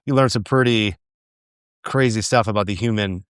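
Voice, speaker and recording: A man hosting a podcast, chatting with a guest in a relaxed and friendly way, with fillers along the way. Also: fairly low pitch, moderate pace, clear, resonant tone, no room sound, studio quality. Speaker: podcast host. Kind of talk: casual interview chat.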